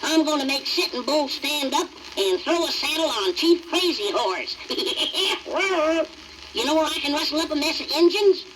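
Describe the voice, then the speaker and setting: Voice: sits high, animated, measured pace. An actor, heard as a woman, voicing a cocky, boastful cartoon woodpecker with folksy bragging on an old 1950s children's record. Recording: noisy old 78rpm record.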